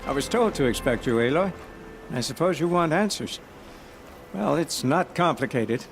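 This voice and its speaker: An actor playing an embittered, righteous outlaw in a video game, blunt in manner. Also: male voice, fairly high pitch, expressive, moderate pace, a little hiss or hum. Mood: matter-of-fact. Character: bitter, righteous male outlaw who robs the rich.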